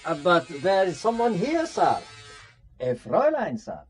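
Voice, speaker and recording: Deferential hotel servant, radio play. High, lively pitch, courteous and servile. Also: male voice, a little slow, a little hiss or hum, exaggerated voice. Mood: polite, hesitant. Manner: polite, servile. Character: deferential hotel waiter bringing morning coffee. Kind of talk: radio drama acting.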